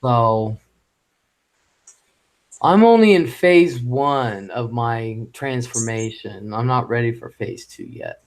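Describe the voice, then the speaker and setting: Male live-stream host, mid-range voice, halting and rambling delivery. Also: mid-range voice, animated, rough recording. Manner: halting and rambling, with many fillers. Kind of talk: casual live chat. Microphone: home microphone.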